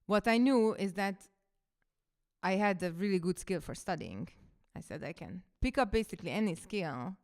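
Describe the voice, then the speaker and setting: A woman's voice: on the low side, expressive, moderate pace, darkish tone. A woman in her thirties, a coach and podcaster whose first language is Hungarian, telling her story in a reflective, casual monologue.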